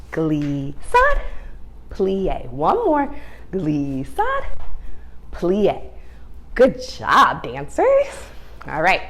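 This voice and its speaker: A woman teaching young children through read-aloud and ballet, speaking in an encouraging, sing-song way with simple instructions and questions. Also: lowish voice.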